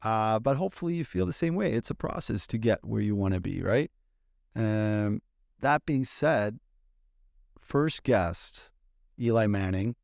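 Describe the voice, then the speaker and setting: A man, hosting a casual podcast in a relaxed, thoughtful way, pausing to find his words. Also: lowish voice, creaky, no room sound, clean studio sound. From Sweden. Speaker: podcast host.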